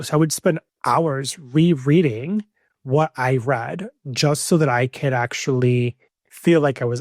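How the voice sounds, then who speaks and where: A man in his young adult years hosts a podcast on his own, speaking earnestly and circling back to stress what matters. His pitch sits in an ordinary middle range. The recording is close and dry, with no room sound around him. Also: no echo. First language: Spanish. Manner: earnest, emphatic, repeats key points. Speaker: podcast host. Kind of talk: solo podcast monologue.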